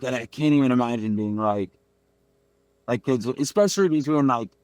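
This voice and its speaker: A man in his thirties chatting as a podcast guest over a remote call, animated and full of "like" and "dude". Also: mid-range voice, moderate pace, no echo. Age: 30s. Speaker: guest musician. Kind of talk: casual podcast chat.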